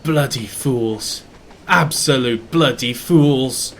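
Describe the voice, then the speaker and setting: A man's voice: medium pitch, animated, a little slow, a bit bright, slight background noise. A man voicing an irritable, proud railway owner in an audio drama, blustering and exasperated.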